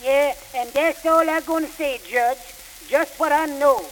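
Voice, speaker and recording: A man's voice: very high-pitched, fairly even intonation, measured pace, a bit bright, low-quality recording. An actor playing a laconic janitor telling his side to a judge in a dry, drawling way. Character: wry Southern shop janitor, man, laconic. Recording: hissy old record.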